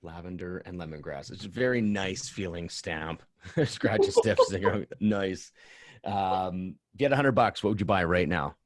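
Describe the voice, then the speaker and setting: A man's voice: low-pitched, animated, moderate pace, no echo. A man hosting a casual interview in an enthusiastic, affirming way. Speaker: podcast host.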